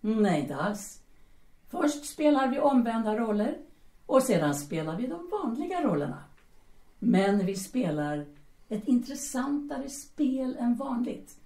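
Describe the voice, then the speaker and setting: A woman's voice: a little high, very animated, mellow. An actress in an audio drama playing a bold, seductive Parisian bohemian woman who is teasing, commanding and formal in address. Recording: roomy, echoing sound.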